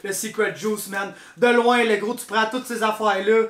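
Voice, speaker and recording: A man's voice: high voice, steady tone, moderate pace, a bit bright. A man with a Québécois accent hosting a joking food review podcast, hyped and swearing freely. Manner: hyped, swears a lot, keeps saying man and bro. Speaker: podcast host. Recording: roomy echo.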